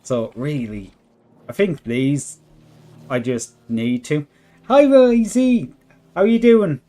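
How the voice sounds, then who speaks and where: A man streaming a game live with a worn, hoarse voice, thinking out loud and chatting with viewers. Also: fairly high pitch, animated, takes it slowly. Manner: thinks aloud, chats with viewers. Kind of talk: relaxed live gameplay commentary. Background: faint background music.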